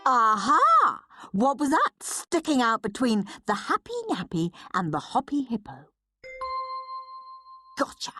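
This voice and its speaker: A woman's voice: on the high side, big pitch swings, slow, pauses often, sharp tone, soft background music, heavily acted. A woman voicing a horrid, scheming British schoolboy in a storybook, with a scornful, melodramatic delivery. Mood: gloating.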